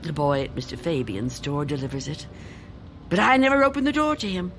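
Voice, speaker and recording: An actress in a radio drama playing a fearful, reclusive old woman, halting and dramatic, wistful and desperate. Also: low voice, lively intonation. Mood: bitter anxious. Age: older. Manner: halting, dramatic, swooping between hushed and agitated. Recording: radio drama with background music.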